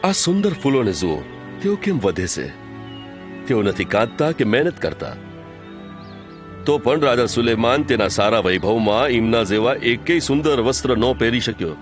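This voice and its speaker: A man with a Kathiyawadi Gujarati accent playing Jesus as a calm, authoritative teacher in an audio drama, speaking in a measured, earnest way. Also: mid-range voice, music in the background, close to an everyday voice. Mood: earnest teaching. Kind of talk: audio drama acting, preaching.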